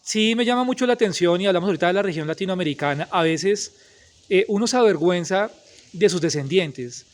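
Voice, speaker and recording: A man's voice: high voice, lively intonation, a little fast. A man, asking long, emphatic interview questions. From Colombia.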